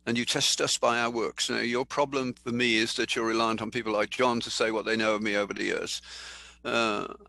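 An older man giving a sermon in a thoughtful, conversational way, slowing down to read scripture aloud. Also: medium pitch, flat delivery, creaky, sharp tone, no echo. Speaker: preacher. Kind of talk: sermon teaching.

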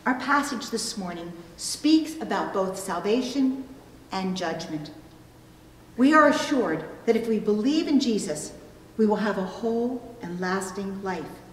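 A middle-aged woman pastor preaching a sermon, phrasing deliberately and pausing for emphasis. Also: mid-range voice, animated, slight background noise. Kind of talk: sermon with illustrative stories. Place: church pulpit indoors, recorded from a distance. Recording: echoey hall, long reverb.

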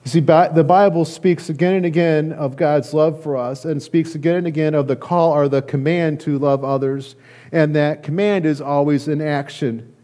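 A middle-aged man, a pastor, preaching a conversational sermon in an earnest, halting way with repeated phrases. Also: a little high, steady tone, mellow. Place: church sanctuary, at a lectern indoors.

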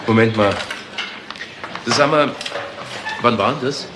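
An actor playing a curious, laconic police investigator in a crime radio play. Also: male voice, mid-range voice, slow pace, background noise, lightly acted. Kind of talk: German radio crime drama acting.

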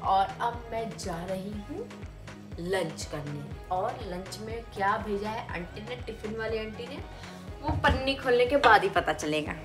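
A woman's voice: mid-range voice, lively intonation, a little slow. A young woman in her twenties chatting playfully to camera in a small home kitchen, self-mocking and chatty. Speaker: vlogger. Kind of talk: casual vlog chat to camera. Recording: echoey kitchen with music underneath.

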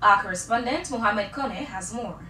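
Bright-voiced young Sierra Leonean woman news anchor. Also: medium pitch, moderate pace, a little hiss or hum. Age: young adult. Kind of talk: scripted news reading. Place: TV news studio. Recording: roomy, distant mic, faint music.

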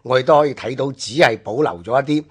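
A man's voice: mid-range voice, fast, husky. A man with a Hong Kong Cantonese accent co-hosting a history discussion, explaining in conversational, list-like phrases. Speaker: radio co-host.

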